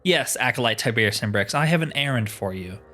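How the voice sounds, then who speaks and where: A man's voice: mid-range voice, expressive, fast talker, bright, forceful tone. A man voicing a formal, earnest, devout cult priest who hands out quests in a fantasy game.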